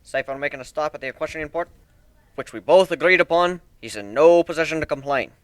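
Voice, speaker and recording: A man's voice: a little high, fairly quick, no room sound, natural voice. A man voicing a boastful, hearty minotaur airship builder in a dramatic story reading, with a brash, folksy way of talking. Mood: assertive. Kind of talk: dramatic fan fiction reading.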